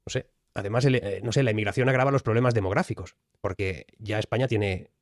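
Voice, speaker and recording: Fast-talking man explaining. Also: fairly low pitch, dry recording, studio quality. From Spain. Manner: fluent, analytical, emphatic. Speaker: commentator. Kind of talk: explanatory commentary.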